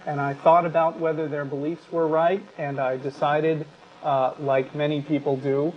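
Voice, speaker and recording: A man with a slightly high voice talks through his points as a co-host on a discussion show. His delivery is measured and halting. The sound is a bit dull and lo-fi, with some background noise. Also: flat delivery. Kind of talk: unscripted discussion on a live talk show.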